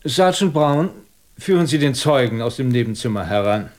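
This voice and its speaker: Shrewd police inspector, played by a man, probing and deliberate. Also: medium pitch, animated, darkish tone, lightly acted. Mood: methodical, confident. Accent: standard German. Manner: probing, deliberate, ironically polite. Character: shrewd, methodical British police inspector pressing a suspect. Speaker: actor playing a police inspector. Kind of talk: German radio crime drama acting.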